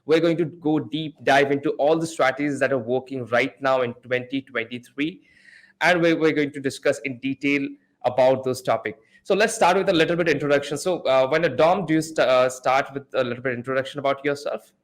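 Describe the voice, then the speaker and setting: A man's voice: medium pitch, brisk. A man hosting a podcast, a non-native English speaker, introducing guests in an eager way with frequent repeats. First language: not English. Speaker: podcast host.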